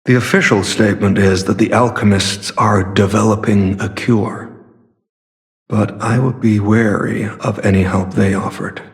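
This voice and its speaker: An actor voicing a grave, courteous, weary warrior in a video game, with formal, measured delivery. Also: male voice, fairly low pitch, steady tone. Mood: grave, thoughtful. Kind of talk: dramatic video game voice acting.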